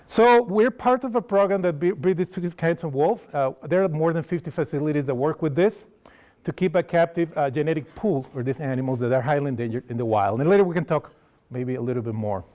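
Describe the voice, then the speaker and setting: A man's voice: a little high, muffled recording. A man from Costa Rica whose first language is Spanish, speaking with a Costa Rican Spanish accent as he gives a conversational public lecture and asks the audience questions. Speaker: wildlife sanctuary educator giving a public talk. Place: lecture hall.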